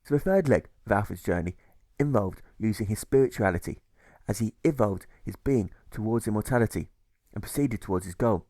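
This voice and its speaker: A man reading a rhyming novel as scripted audiobook narration, in a measured, rhythmic delivery. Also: lowish voice, expressive, dark tone, no room sound. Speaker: author reading own audiobook.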